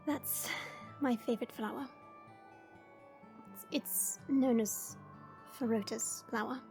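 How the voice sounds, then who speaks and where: Woman voicing a dragon princess, slightly high voice, slow measured delivery, tender, formal, proud, fantasy audio drama. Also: steady tone, a little mellow, soft background music. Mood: wistful, tender. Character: gentle dragon princess, proud gardener, softly regretful. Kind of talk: audio roleplay acting.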